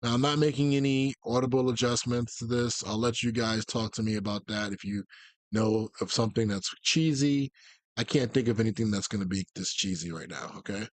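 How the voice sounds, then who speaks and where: A man giving a live, conversational tutorial as a gaming coach, explaining tactics and often checking in with a quick "okay?" or "right?". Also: lowish voice, no echo. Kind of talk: live explanatory commentary on football video game tactics.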